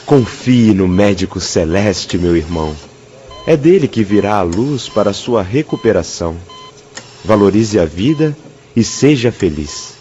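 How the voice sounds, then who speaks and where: A man's voice: lowish voice, lively intonation, a little slow. An actor with a Brazilian accent playing a kind male medium who comforts the sick, soothing and encouraging, in a radio drama. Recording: dull sound with soft music.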